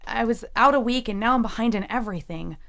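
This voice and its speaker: A woman's voice: a little high, fast talker, creaky, a bit bright. An actress playing a troubled, defensive female college student in an audio drama, insistent and emphatic. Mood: exasperated.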